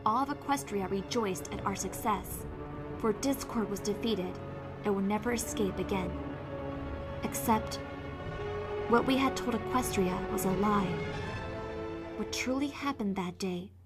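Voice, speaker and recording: A woman's voice: medium pitch, lightly acted. A woman voicing an earnest, studious young pony heroine in a scripted audio drama. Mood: solemn. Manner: earnest, measured storytelling. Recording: music behind the voice.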